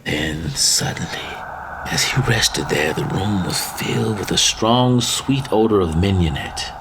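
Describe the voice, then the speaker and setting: Low-voiced man, theatrical storyteller. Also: measured pace, vocal fry. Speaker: story reader. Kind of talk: dramatic literary narration of a macabre tale. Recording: faint background noise and ambience.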